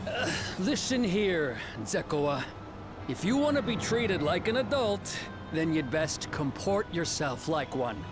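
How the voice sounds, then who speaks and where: An actor voicing a smug, condescending deputy chief of frontier lawmen in a video game, with an American accent and a pompous, mocking manner. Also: male voice, high voice, big pitch swings, a little slow. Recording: music behind the voice.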